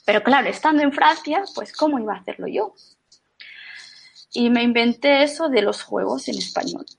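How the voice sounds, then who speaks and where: A woman's voice: a little high, moderate pace, gravelly. A middle-aged woman from Menorca, chatting as a podcast guest and often restarting her sentences. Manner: chatty, with frequent restarts and fillers. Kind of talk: casual conversation.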